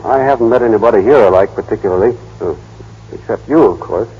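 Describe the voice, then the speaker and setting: Husky, earnest small-town American man. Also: on the low side, fast talker. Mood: shy, earnest. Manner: hesitant, boyish, plainspoken. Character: earnest, shy, naive small-town man, gentle and plainspoken. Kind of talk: 1930s-40s radio drama acting. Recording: dull, muffled old radio broadcast.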